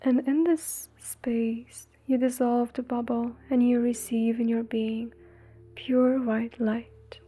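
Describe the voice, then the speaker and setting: A woman leading a guided meditation in a soothing, breathy, gentle way, leaving long pauses between phrases. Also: a little high, steady tone. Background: faint music.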